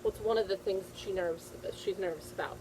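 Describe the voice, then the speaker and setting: A woman's voice: medium pitch, steady tone, fast talker, mellow, slight background noise, rough recording. A woman teacher reading a picture book aloud to young children, repeating questions and answers in an encouraging way. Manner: encouraging, praising the listener.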